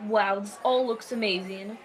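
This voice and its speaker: A teenage actress playing a polite traveling messenger in a student fantasy radio drama. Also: female voice, medium pitch, steady tone, moderate pace, close to an everyday voice. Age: teens. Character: polite, weary traveling messenger on urgent errand. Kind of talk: student radio theatre acting.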